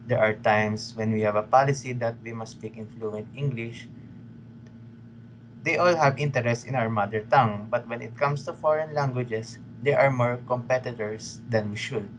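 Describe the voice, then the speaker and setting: A man's voice: mid-range voice, moderate pace, poor audio. Young Filipino-accented male student, hesitant delivery with fillers, faint background noise. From Philippines. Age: young adult. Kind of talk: class group discussion.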